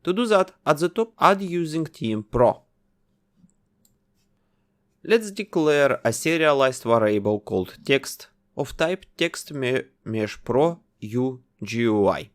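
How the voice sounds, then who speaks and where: Male tutorial narrator, methodical and instructive, with a lively, varied pitch. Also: takes it slowly. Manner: methodical, instructive, explains each step. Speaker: tutorial host. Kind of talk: step-by-step software tutorial narration.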